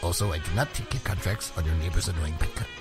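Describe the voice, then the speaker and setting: A man's voice: low voice, quick pace, over music, lightly acted. A man playing a businesslike demon hunter in a parody radio commercial, with a formal announcer's delivery. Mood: solemn. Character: solemn, businesslike demon hunter advertising his services.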